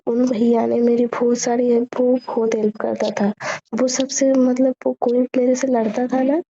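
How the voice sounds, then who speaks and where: A woman's voice: a little high, little pitch movement, normal speed, warm tone. A young woman chatting casually and personally on a phone call, often repeating her phrases, in a lo-fi recording.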